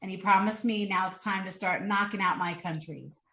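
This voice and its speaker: A woman's voice: mid-range voice, normal speed, sharp tone. A woman hosting a podcast, chatting casually as she interviews her guest. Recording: roomy, echoey sound.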